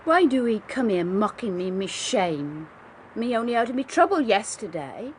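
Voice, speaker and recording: A woman's voice: medium pitch, big pitch swings, moderate pace, slight background noise, natural voice. An actress playing a sullen, put-upon young hired girl in a radio drama, with a rural southern English accent and plaintive dialect speech. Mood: aggrieved. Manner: dialect-heavy, aggrieved, plaintive.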